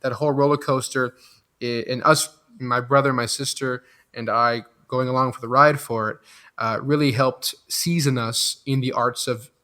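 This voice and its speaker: A man's voice: medium pitch, steady tone, normal speed. Reflective young man, French first language. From Belgium. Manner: reflective, thinks out loud. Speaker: guest. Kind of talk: casual podcast interview.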